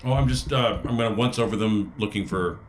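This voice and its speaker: Male tabletop player, lowish voice, casual conversational delivery. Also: brisk. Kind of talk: casual in-game chat.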